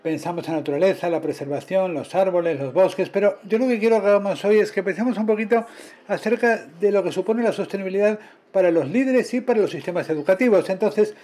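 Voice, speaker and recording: A man with a notably high voice interprets a conference talk live. He follows the speaker in short bursts and corrects himself mid-phrase as he goes. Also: fast talker, dark tone. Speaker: simultaneous interpreter.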